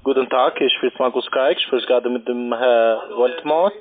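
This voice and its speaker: A man's voice: mid-range voice, normal speed. A man with a foreign accent, not a native German speaker, insistent on a phone call in broken grammar. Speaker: phone scam caller. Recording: noisy tinny phone line.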